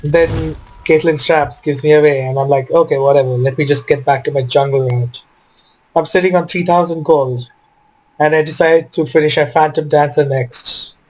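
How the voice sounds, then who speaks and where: A man's voice: on the high side, steady tone, normal speed, a little mellow, low-quality recording. A man giving casual commentary over his own video game match. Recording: noticeable background noise.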